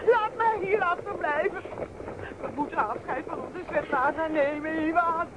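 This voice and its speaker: A woman's voice: very high-pitched, normal speed. An actress playing a pious, fearful old peasant mother who pleads, in a radio play. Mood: pleading, afraid. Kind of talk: Dutch radio play acting. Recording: noisy outdoor water and crowd soundscape.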